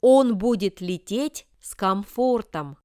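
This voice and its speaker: A woman reading example sentences for language learners with careful, deliberate enunciation. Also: medium pitch, animated, darkish tone, clean tone, no echo, clean studio sound. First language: Russian. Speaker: language lesson reader.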